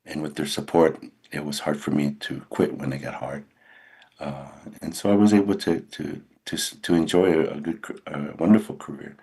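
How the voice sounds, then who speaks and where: A man's voice: a bass voice, darkish tone, slightly rough. An older man from California, a radio guest, talking earnestly and unhurriedly about his career, often restarting his sentences.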